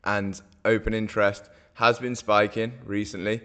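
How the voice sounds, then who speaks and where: A hoarse man, walking viewers through market charts in a casual, rambling way, full of fillers and self-corrections. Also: lowish voice, level tone, normal speed, pushed and bright. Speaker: crypto market analyst host.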